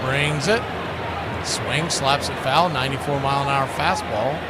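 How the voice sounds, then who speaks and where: A man's voice: medium pitch, unhurried, very noisy. A man doing live radio-style baseball play-by-play in clipped, punchy phrases with folksy slang.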